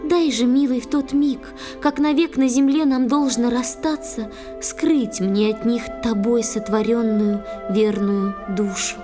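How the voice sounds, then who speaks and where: A woman playing a gentle, devoted young water nymph bride, reciting tenderly in a poetic audio play for children. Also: on the high side, fairly even intonation, a little slow, few pauses, music in the background, natural voice. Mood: pleading, submissive.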